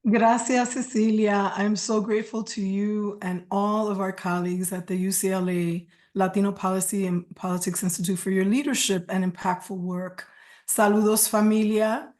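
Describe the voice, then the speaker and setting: Woman moderating a webinar with heartfelt warmth. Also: medium pitch, fairly even intonation, normal speed. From United States. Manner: warm, heartfelt, mixes in Spanish phrases. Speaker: moderator and sociology professor.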